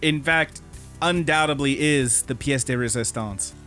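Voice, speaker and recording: A man's voice: mid-range voice, a little slow, fairly bright tone, faint music behind. A man co-hosting a podcast in a casual chat, talking with slangy enthusiasm. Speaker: podcast co-host.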